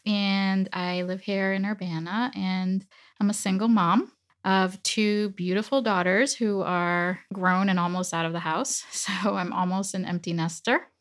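A woman's voice: mid-range voice, steady tone, a little slow. A woman in her forties, raised in the Dominican Republic with Spanish as her first language, telling her story earnestly and reflectively as an interview guest. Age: 40s. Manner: earnest, reflective, laughs lightly. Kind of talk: casual personal storytelling.

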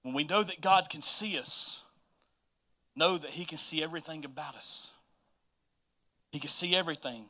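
Bright, forceful Southern preacher man. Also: on the high side, moderate pace, long pauses. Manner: informal, folksy, addresses listeners directly. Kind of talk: church sermon with scripture reading.

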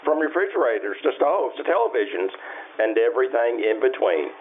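Animated 1950s radio pitchman played by a man. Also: fairly quick. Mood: salesmanlike. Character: folksy 1950s appliance store pitchman on local radio. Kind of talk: old-time radio advertisement. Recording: muffled radio recording, faint noise.

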